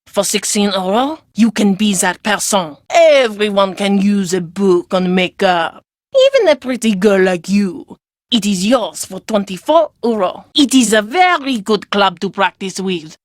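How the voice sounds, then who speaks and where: A woman's voice: medium pitch, no echo, natural voice. A woman acting as a shrewd French shopkeeper in a video game. She has a French accent, a mid-range voice and theatrical, formal sales patter. The sound is very dry. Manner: formal, slightly stilted foreign phrasing, salesy. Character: shrewd, theatrical French female market vendor.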